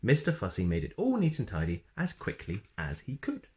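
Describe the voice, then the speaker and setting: A man reading a children's story expressively, with playful emphasis and dramatic pauses. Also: on the low side, wildly swooping pitch. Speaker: storybook reader.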